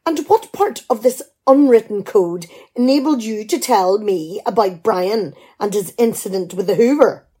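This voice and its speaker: A woman's voice: medium pitch, lively intonation, moderate pace, hardly any pauses, mellow, breathy edge. A middle-aged woman, playing a shrewd, teasing, practical wife in a radio play. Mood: teasing, determined. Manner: dry, bantering, probing. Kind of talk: amateur radio play dialogue.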